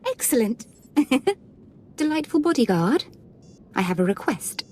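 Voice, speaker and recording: An actress playing a menacing, playfully sadistic Sith lord in a video game, sweetly mocking and imperious. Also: female voice, sits high, big pitch swings, slow pace, darkish tone, a little hiss or hum, heavily acted. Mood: mocking, cruel. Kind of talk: scripted game dialogue.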